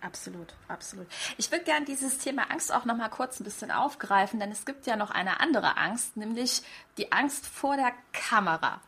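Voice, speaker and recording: A woman's voice: fairly high pitch, lively intonation, normal speed, pushed and bright, husky. A woman host and coach in conversation, affirming her guest's points and building on them. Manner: affirming, picks up and builds on ideas. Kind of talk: conversational interview.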